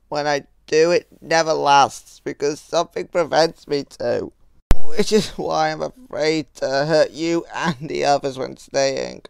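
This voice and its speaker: Man playing a slow, sad young animatronic clown. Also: a little high, creaky, rough recording. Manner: hesitant, halting, pleading. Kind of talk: emotional comic dub acting.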